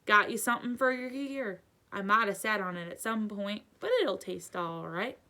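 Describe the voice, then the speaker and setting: A big, gruff giant of a man from a children's storybook, acted out by a woman. He talks bluntly and heartily in colloquial phrases, with a bright, forceful edge. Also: medium pitch, normal speed. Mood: jovial.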